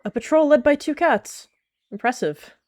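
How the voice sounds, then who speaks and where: A woman's voice: high voice, normal speed, a little mellow, pitched above the performer's own voice, lightly acted. A woman doing a sarcastic young she-cat. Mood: mocking. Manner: teasing, sarcastic. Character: pushy mocking young she-cat warrior.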